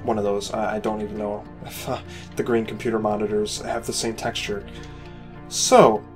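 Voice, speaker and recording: A man's voice: lowish voice, flat delivery, normal speed, faint music behind. A tired man who is losing his voice, giving casual, rambling gameplay commentary as he critiques a player-made space station, critical but encouraging. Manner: rambling, with frequent "you know" and "uh" fillers. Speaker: game station reviewer.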